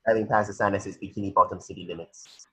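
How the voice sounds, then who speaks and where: A man's voice: low voice, fairly even intonation, quick pace, fairly bright tone, slightly rough. A man narrating a cartoon script's stage directions in a matter-of-fact way, sometimes stumbling over the words. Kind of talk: reading a cartoon script aloud.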